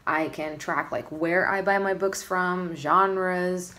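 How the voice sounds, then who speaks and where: A woman's voice: on the low side, fairly even intonation, slow pace, pushed and bright. A woman in her twenties chatting casually to camera, tired and groggy, in a rambling, self-deprecating, informal way. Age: 20s. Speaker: vlogger. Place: small room at home, indoors. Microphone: phone or camera at arm's length.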